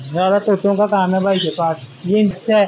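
A man's voice: high voice, steady tone, normal speed. A man with a Pakistani accent hosting a casual market tour, asking prices and repeating the answers back. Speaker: vlogger interviewing a shopkeeper. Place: busy bird market shop. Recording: noisy lo-fi market recording with bird sounds.

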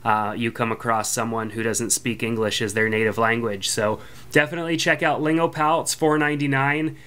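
Bright, smooth-voiced male podcast host. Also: mid-range voice, a little fast. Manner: relaxed, filler-heavy, friendly. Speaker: podcast host reviewing an app. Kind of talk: casual product review voice-over.

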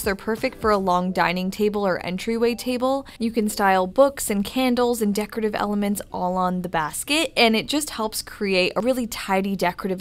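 A woman interior designer talking casually and upbeat as she walks through a home decor store, giving shopping tips in a voice-over. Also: mid-range voice. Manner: upbeat, chatty recommendations. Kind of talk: shop-with-me voice-over.

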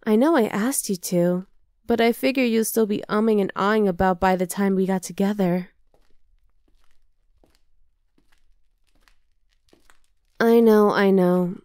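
A woman's voice: mid-range voice, a little mellow, no echo, natural voice. Close, dry, teasing half-dragon girlfriend voiced by a woman. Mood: teasing, gentle. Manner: casual, coaxing, affectionate teasing. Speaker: ASMR roleplay voice actor. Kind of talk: ASMR roleplay acting.

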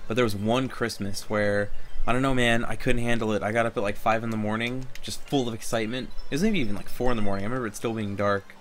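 A man hosting a let's play, chatting and telling stories casually, with excitable, sweary reactions. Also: on the low side, fast talker, soft background music. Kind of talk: casual gameplay chat and storytelling.

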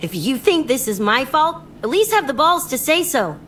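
A woman's voice: high-pitched, big pitch swings, moderate pace, fairly bright tone, slight background noise. Curt, synthetic nomad mercenary voiced as a woman. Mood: tense. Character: tough, guarded young female nomad mercenary. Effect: AI-generated voice. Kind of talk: video game dialogue.

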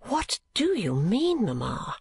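A woman voicing a gentle, polite young daughter in a storybook reading, soft-spoken and dutiful. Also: lowish voice, very animated, slow, gravelly, breathy edge, heavily acted. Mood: surprised, puzzled.

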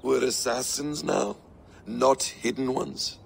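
An actor playing a calm, scheming ancient man who speaks in a measured, quietly menacing way, in a video game cutscene. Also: mid-range voice, vocal fry, slight background noise, exaggerated voice. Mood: composed.

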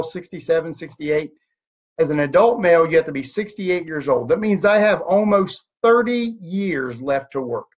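Husky high-voiced man pitching motivation. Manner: emphatic, animated hand gestures, rhetorical questions. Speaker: network marketing leader. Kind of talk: motivational talk to camera. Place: home office room. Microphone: webcam at desk. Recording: dull lo-fi webcam audio.

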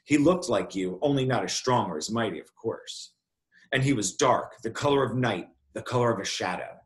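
A man voicing a frightened, flattering little girl in a storybook, pleading and telling her tale dramatically. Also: medium pitch, moderate pace, natural voice. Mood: dramatic, pleading. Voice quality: quivering.

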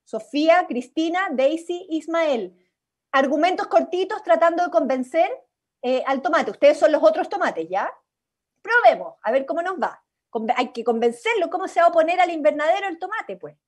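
A woman with a high voice leads a drama workshop over a video call. She gives instructions and checks in encouragingly as she directs. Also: animated, normal speed. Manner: encouraging, instructive, acts out example lines. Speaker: workshop leader. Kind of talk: directing an improvised radio play workshop. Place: indoors at home, on a video call.

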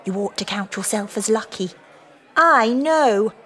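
A woman's voice: mid-range voice, expressive, measured pace, pushed and bright. An actress playing a brash, teasing British woman of about forty in an audio drama, with a blunt, mocking, flirtatious manner. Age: middle-aged.

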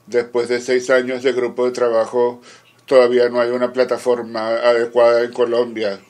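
A man working as a conference interpreter, giving a formal simultaneous interpretation in short, measured phrases with halting pauses. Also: medium pitch, completely flat delivery, a little mellow.

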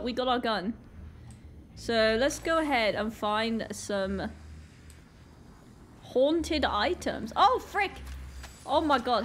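A young woman with a Northern Irish accent talks through a game, sounding sick and a little off. She is easily flustered and exclaims often, speaking slowly in a slightly high voice, with faint music behind. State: sick, voice a bit off. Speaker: gaming YouTuber. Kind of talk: casual let's-play commentary.

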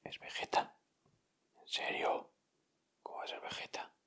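A man with an accent from Spain, speaking in a breathy, unvoiced whisper as he gives casual ASMR commentary. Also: a little slow, fairly bright tone. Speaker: ASMR video host. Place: indoors at a table.

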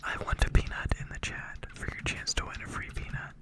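A person commentating a livestream in a breathy ASMR-like whisper, with a playful, deadpan mock-announcer delivery. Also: a little fast.

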